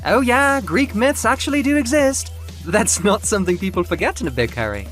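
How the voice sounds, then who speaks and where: Man acting an exasperated, high-pitched sarcastic painter. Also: animated, a little fast, fairly bright tone, faint music behind. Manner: theatrical, sarcastic, emphatic. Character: exasperated male painter, sharp-tongued and scolding.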